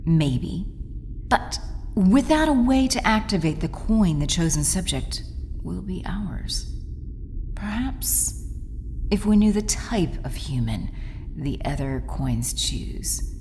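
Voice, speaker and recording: Audio drama villain: a woman as a cold, scheming alien commander, theatrical, slow and deliberate, in long hall reverb over noise. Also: on the low side, big pitch swings, a little mellow, exaggerated voice. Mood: brooding, scheming.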